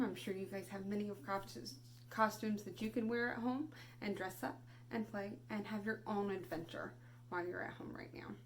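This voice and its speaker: A woman reading a children's story aloud, dramatically moving between hushed and raised delivery. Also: medium pitch. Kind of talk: expressive children's read-aloud. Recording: noticeable background noise.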